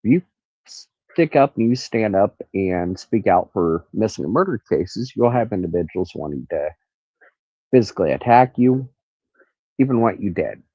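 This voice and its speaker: A man's voice: lowish voice, lively intonation, measured pace, dark tone, breathy edge, no room sound, lo-fi sound. A man with a nasal voice hosting a rambling, casual true crime livestream from an outdoor carport in the country. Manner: rambling, stops to look things up. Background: crickets and cicadas.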